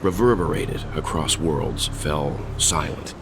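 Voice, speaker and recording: A very deep-voiced, grave narrator tells ancient cosmic legend for a video game. The delivery is solemn and deliberate, with clear noise behind it. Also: male voice, exaggerated voice. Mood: solemn, portentous.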